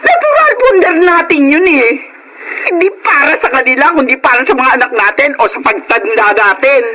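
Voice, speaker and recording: A woman's voice: high-pitched, lively intonation, moderate pace, pushed and bright, low-quality recording. An actress playing an exasperated, protective middle-aged wife and mother in a Filipino radio drama, emphatic and emotional. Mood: indignant, upset. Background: dramatic background music.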